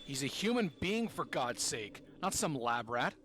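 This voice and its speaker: Hot-headed angry man played by a male actor, animated delivery, prominent music, audio drama. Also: mid-range voice, unhurried. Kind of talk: fan audio drama acting. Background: loud dramatic music.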